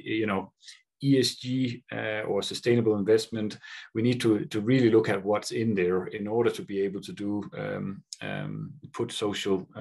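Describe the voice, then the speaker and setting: A man from Denmark and Sweden with a Scandinavian accent, giving a conference presentation and pausing often on fillers and repeated words. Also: fairly low pitch, gravelly, husky. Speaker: conference speaker. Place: video link from Colombia.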